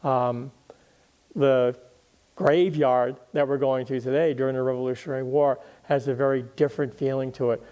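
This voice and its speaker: A man speaking as a guest historian, explaining literature and history in deliberate, emphatic phrases with pauses. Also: medium pitch, fairly even intonation, mellow, clean tone.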